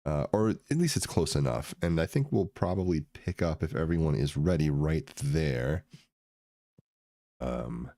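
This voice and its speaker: A man running a tabletop game as game master, narrating with hesitant pauses and fillers. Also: rumbling low, expressive, mellow, no echo, studio quality.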